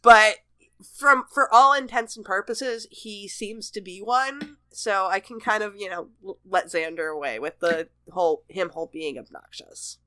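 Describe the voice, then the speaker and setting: A woman with a bright, forward voice chats casually as a podcast co-host. The sound is dry and close, with a conversational, discussion-style delivery at a middle pitch. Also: measured pace, no echo. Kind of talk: casual chat, TV episode discussion.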